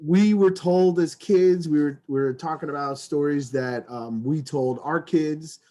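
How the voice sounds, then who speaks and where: A man's voice: a little high, a little slow, mellow. A middle-aged man co-hosting a comedic podcast, casual and sweary, repeating words as he feels his way through sentences. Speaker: podcast co-host.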